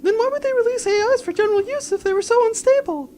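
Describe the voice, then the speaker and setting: A woman's voice: extremely high pitch, fast, slight background noise. A woman playing an earnest, urgent starship officer in an audio drama. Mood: alarmed. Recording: loud music under the voice.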